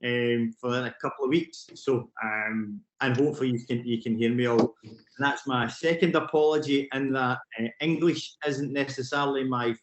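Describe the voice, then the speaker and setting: Middle-aged Glaswegian man, remote speaker on a video call, roomy sound, fast excitable delivery. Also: medium pitch. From Scotland. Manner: fast and excitable, with many fillers and restarts. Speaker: youth work programme director. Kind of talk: conference presentation over a video call. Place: remote, away from the venue.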